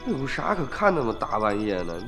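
An actor playing a dutiful but grumbling grown son of a farmer in a radio drama, speaking colloquially. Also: male voice, on the low side, sing-song, moderate pace, roomy sound, music in the background. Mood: reluctant, grumbling.

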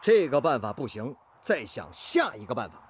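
An actor playing a determined, good-natured factory master technician in a radio drama, earnest and full of sighing interjections. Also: male voice, mid-range voice, big pitch swings, normal speed, slight background noise, close to an everyday voice. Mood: proud, resolute.

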